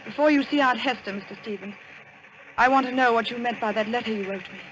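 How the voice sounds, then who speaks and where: A woman's voice: a little high, fast, a bit bright, lightly acted. An actress playing a worried, proper young English woman with a British accent, hesitant and prim, in old radio drama style. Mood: anxious, earnest. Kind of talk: 1940s radio acting. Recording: noisy old radio recording.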